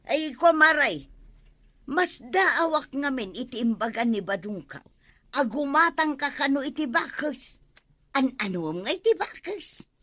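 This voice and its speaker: Puzzled village busybody, highish piercing voice, Ilocano accent, radio comedy drama. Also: female voice, sing-song, unhurried, a bit bright, no room sound, heavily acted. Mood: inquisitive.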